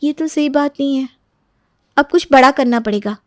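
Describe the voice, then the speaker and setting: A woman puts on a kind young sister-in-law for a moral story. The voice is lifted high and dry, respectful yet indignant and bold. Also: normal speed, no echo, lightly acted. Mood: determined. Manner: respectful, concerned. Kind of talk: Hindi moral story voice acting.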